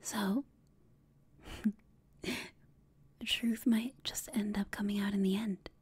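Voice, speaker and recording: A woman playing a confident, teasing female villain in an intimate ASMR roleplay, speaking in a smug, seductive, breathy whisper. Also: fairly high pitch, warm tone.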